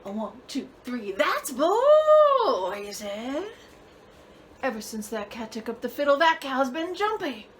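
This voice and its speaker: Casting: actress as eccentric female performance artist, high bright voice, impassioned stage monologue with slow, drawn-out, half-sung phrases. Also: sing-song, noisy background, heavily acted. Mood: sly, storytelling. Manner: dramatic, drawn-out, swinging from hushed to loud.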